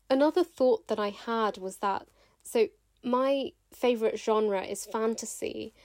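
A woman's voice: a little high, darkish tone, no echo. Thoughtful British woman, dry close sound. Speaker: author and actor, podcast guest. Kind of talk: reflective spoken commentary.

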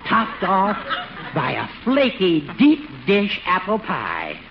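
An actor playing a sly older judge who needles his friend with teasing, drawn-out mock politeness in a radio comedy. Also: high-pitched, wildly swooping pitch, vocal fry, heavily acted. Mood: tempting. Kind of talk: 1940s radio comedy acting. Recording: muffled, noisy 1940s radio recording.

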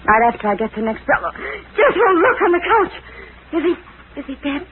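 An actress playing an anxious young woman in trouble in a 1940s radio drama, speaking urgently and haltingly. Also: high-pitched, big pitch swings, background noise. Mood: worried. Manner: urgent, hesitant, faltering. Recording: tinny vintage radio recording, crowd behind.

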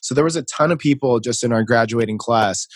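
A man's voice: medium pitch, brisk, no echo, clean studio sound. A man hosting a casual podcast interview over a video call, upbeat and chatty, laughing easily. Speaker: podcast host.

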